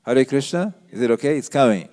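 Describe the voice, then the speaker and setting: Low-voiced man lecturing a temple audience. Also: lively intonation, a little fast, darkish tone. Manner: emphatic, questions the audience. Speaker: visiting speaker giving a temple talk. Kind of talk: lecture with slides. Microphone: handheld mic.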